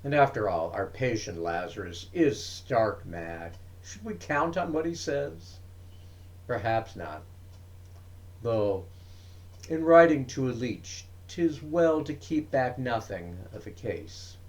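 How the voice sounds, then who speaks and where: A man reading verse aloud dramatically, with measured, emphatic and theatrical phrasing. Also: mid-range voice, lively intonation. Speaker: poetry reader. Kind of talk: expressive dramatic poetry reading.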